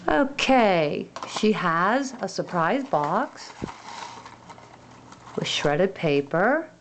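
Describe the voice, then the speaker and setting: A woman narrates a toy unboxing at an unhurried pace. Her pitch swoops up and down in a sing-song, gentle way. Faint noise and the crinkle of packaging sit behind her. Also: big pitch swings. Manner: slow, gentle, sing-song show-and-tell.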